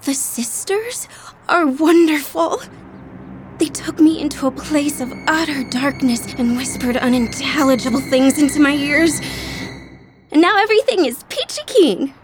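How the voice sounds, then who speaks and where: A woman's voice: high-pitched, a little slow, noisy background. A woman playing an eerily carefree, giggly young woman in a comedic horror audio drama, speaking in a breezy, upbeat way. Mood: blithely cheerful.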